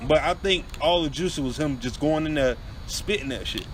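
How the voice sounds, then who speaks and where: A man's voice: a little high, moderate pace. Laid-back young man, noisy recording. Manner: laid-back, asks "you feel me?" often. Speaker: podcast co-host. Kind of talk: casual chat about rap.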